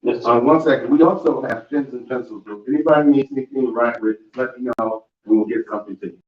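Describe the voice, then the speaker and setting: Man, meeting host handing over to a speaker, halting delivery, far-off mic in a roomy space. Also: medium pitch. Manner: hesitant, with repeated words. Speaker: community organization host. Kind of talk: introducing a speaker. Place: meeting room. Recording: dull, echoey room audio from far off.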